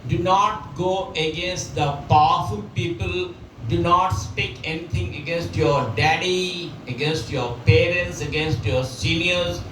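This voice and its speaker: A man teaches prepositions, running each example sentence over and over, with plenty of fillers. His voice sits a little high and sounds set back from the mic. The room rings with echo, and a steady noise runs underneath. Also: measured pace, soft background music. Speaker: English teacher. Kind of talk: spoken English lesson. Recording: echoey room, mic at a distance, background noise.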